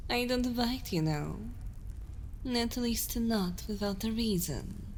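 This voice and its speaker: A woman playing an imperious, seductive evil queen in an ASMR roleplay, speaking deliberately and often slipping toward a whisper, commanding and condescending. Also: on the high side, expressive, slight background noise. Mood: commanding, teasing. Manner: slow, deliberate, hushed delivery slipping into whispers. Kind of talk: ASMR roleplay, intimate dramatic monologue.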